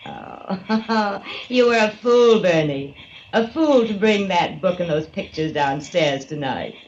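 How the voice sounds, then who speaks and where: A woman's voice: fairly low pitch, lively intonation, a little mellow. An actress playing a scheming blackmailing woman in a 1940s radio drama, sly and mocking. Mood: taunting. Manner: sly, mocking, drawn-out delivery. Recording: lo-fi old radio transcription with hiss.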